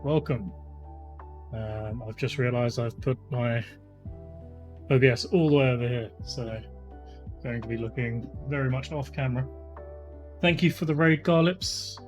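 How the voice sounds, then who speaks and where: A man in his thirties chatting casually as a stream host, rambling with lots of fillers, his voice slightly croaky. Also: medium pitch. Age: 30s. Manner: rambling, chatty, many fillers, greets viewers by name. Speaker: livestream host. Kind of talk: casual live-stream chat. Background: faint lo-fi music.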